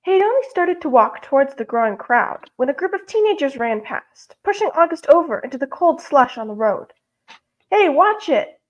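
Very high-voiced woman on lo-fi call. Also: lively intonation, brisk, few pauses. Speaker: guest art instructor and author. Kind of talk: reading own short story aloud. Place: indoors, on a video call. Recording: dull, lo-fi video call.

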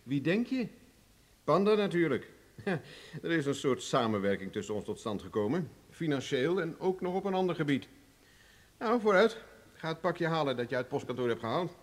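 A cocky, taunting adventurer. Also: male voice, on the high side, very animated, normal speed. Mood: taunting, confident. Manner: self-assured, taunting, commanding. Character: cocky, hard-bargaining male adventurer, threatening and mocking. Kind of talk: radio drama acting.

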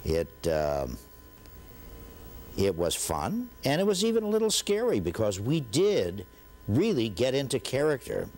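A man's voice: medium pitch, huge pitch swings, measured pace, a little mellow. A middle-aged man with an American accent, reflecting on memories in an interview. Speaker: interviewee, actor.

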